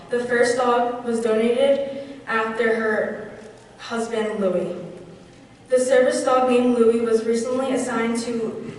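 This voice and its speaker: A woman's voice: fairly high pitch, slight background noise. A teenage girl with a New Jersey accent earnestly reading a prepared fundraising speech, heard from a distance in a large convention hall. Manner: reads from a script, earnest. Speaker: youth chapter representative. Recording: echoey hall, distant mic.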